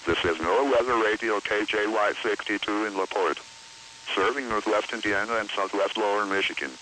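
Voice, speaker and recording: A man's voice: on the low side, normal speed, sharp tone, low-quality recording. A man's synthetic voice giving automated station announcements on weather radio. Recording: hissy weather radio broadcast.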